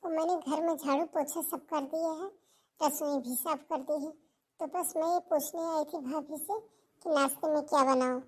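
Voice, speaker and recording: A woman's voice: sits high, steady tone, moderate pace, a little mellow, natural voice. A woman playing a meek, hard-working young sister with a polite, pleading manner in a Hindi cartoon moral story. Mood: worried, polite.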